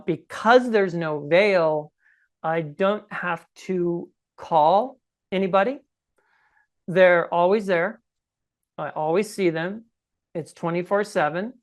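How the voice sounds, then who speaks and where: A man in his sixties with a scratchy voice, telling his own story conversationally and emphatically as an interview guest. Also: sits high. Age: 60s. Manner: emphatic, often checks in with "okay?" and "right?". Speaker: podcast guest recounting personal experience. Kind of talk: conversational first-person storytelling.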